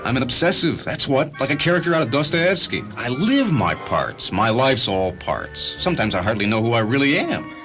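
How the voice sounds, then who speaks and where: A man's voice: mid-range voice, very animated, normal speed, few pauses, over music, pitched above the performer's own voice, lightly acted. A man voicing an obsessive, overdramatic English stage performer, intense and theatrical, in a dramatized story reading. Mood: intense, confessional.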